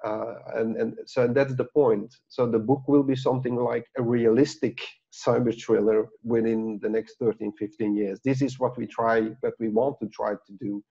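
A man's voice: mid-range voice, level tone, normal speed, vocal fry, few pauses, dark tone, breathy edge. A middle-aged man whose first language is Dutch, a book author talking casually on a video call, rambling with many fillers and restarts. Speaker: author and guest. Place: office with branded backdrop. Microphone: lapel mic on video call. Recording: dull lo-fi video call audio.

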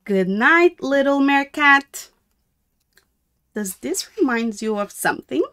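A woman storyteller reads aloud for young children in a high, very animated voice. She is deliberate and sing-song, with exaggerated intonation and an unhurried, slow delivery. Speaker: storyteller reading a picture book aloud to children.

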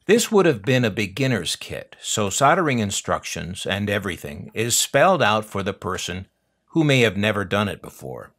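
A man's voice: on the low side, a bit bright. An older American man narrating an unboxing video with wry, dry humor and nostalgic asides. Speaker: host of a collector's unboxing channel.

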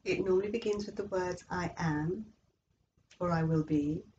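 Female meditation guide, soft-edged dark timbre, slow and soothing, slightly muffled recording. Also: lowish voice. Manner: slow, measured, soothing delivery with long pauses. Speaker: yoga nidra instructor.

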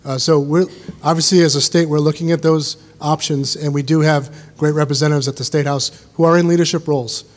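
A man answering safety questions from a podium at a town meeting, in a brisk, reassuring manner. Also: on the high side, steady tone. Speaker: school safety official. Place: school auditorium stage.

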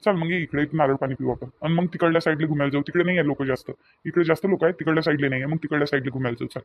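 Man acting a high-pitched, coaxing young cartoon husband. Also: fairly even intonation, rapid-fire, dry recording, muffled recording, natural voice. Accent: Vidarbha Marathi (Varhadi). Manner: insistent, repetitive coaxing. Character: young newlywed husband, reassuring, doting, a little impatient. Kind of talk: cartoon dialogue acting in colloquial dialect.

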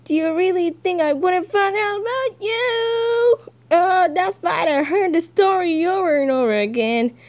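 A theatrical young villain in a comic dub, acted by a woman, pitched high and lilting. The delivery is sing-song and taunting, full of playful menace. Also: expressive, unhurried, a little mellow.

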